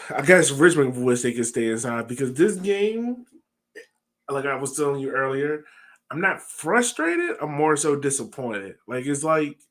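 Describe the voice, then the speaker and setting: A man's voice: on the high side, fairly bright tone. An American man hosting a sports podcast, chatting casually on a headset over a video call. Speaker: podcast host. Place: small home room, indoors.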